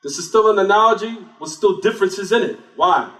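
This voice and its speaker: A man's voice: high-pitched, a little fast, a bit bright. A man giving a religious lecture, often asking rhetorical questions and then answering them himself. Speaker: Islamic lecturer. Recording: echoey room, distant mic.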